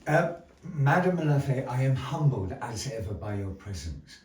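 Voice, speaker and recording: A man's voice: mid-range voice, sing-song, unhurried, darkish tone. A man presenting a puppet show as a grandiose, old-fashioned showman, telling stories theatrically to a live audience. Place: gallery live performance, recorded from a distance. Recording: roomy, distant mic with background noise.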